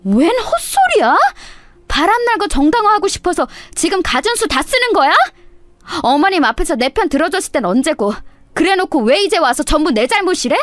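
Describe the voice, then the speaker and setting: Woman voicing an indignant, animated wronged wife. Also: extremely high pitch, brisk, no echo, pitched above the performer's own voice. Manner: confrontational, wounded. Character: wronged young wife and mother, indignant, sharp-tongued. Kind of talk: dramatized radio story dialogue.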